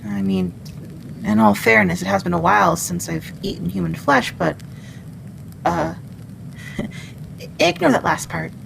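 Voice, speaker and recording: Woman playing a gravelly, skittish friendly wendigo. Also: fairly low pitch, big pitch swings, exaggerated voice. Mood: awkward, grateful. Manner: hesitant, halting, hushing. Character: friendly, skittish female wendigo, protective forest monster. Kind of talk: ASMR roleplay acting. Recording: clearly noisy background.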